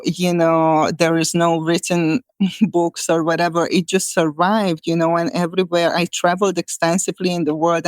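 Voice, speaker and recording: A woman with a Hungarian accent talks through her path as a healer, pausing on fillers and restarting her sentences. Her voice is smooth and clear, with a level, even melody that rarely rises or falls. The recording is dry and close. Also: on the low side, no room sound. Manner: thoughtful, many fillers and restarts. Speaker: guest, shamanic healer. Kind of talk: conversational interview answers.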